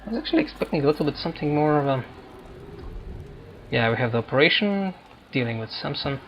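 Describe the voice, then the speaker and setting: A man's voice: on the high side, animated, fast, dull audio, slight background noise. A man giving casual let's-play commentary as a gaming streamer.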